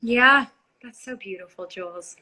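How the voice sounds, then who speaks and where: A woman with a bright, sharp-edged voice pitched a little high. She hosts a casual live chat, eagerly affirming and encouraging her guest. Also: measured pace, low-quality recording. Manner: warmly affirming, eager listener. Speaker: actress, live-stream host.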